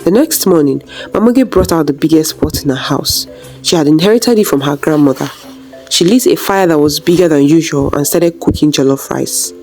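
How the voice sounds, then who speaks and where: A woman storyteller with a low, mellow voice narrates a village folktale. She, and faint music plays underneath. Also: a little fast.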